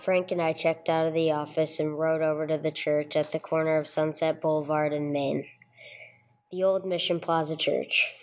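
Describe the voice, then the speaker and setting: A woman's voice: low voice, darkish tone, natural voice. A woman playing a dry, businesslike police detective sergeant in a radio drama, with terse, matter-of-fact delivery. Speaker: narrator and lead actor. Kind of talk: old-time radio police drama, scripted narration.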